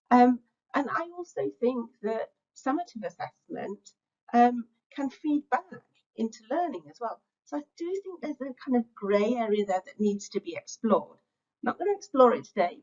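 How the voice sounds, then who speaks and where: A woman's voice: on the high side, a little mellow, muffled recording. A middle-aged woman, giving an online lecture to teachers, reflective and conversational with frequent filler sounds. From England. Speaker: teacher-training speaker. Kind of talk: webinar lecture.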